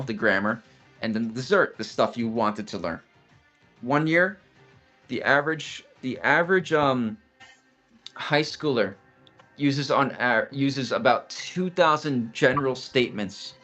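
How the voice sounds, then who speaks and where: A man's voice: medium pitch, lively intonation, a little slow, pushed and bright, soft background music. A man, casually explaining study steps as a guest in a chat, blunt and fond of restarts.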